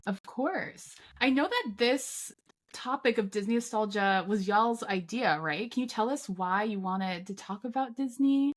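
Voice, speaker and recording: A woman's voice: fairly high pitch, lively intonation, measured pace. A woman co-hosting a podcast, friendly and steering the talk with questions. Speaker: podcast co-host. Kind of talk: casual podcast chat.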